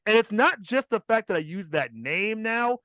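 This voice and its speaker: A man's voice: high voice, animated, normal speed, bright, forceful tone, dry recording, clean studio sound. A man hosting a podcast, telling a personal story in a casual, profane and emphatic comic style.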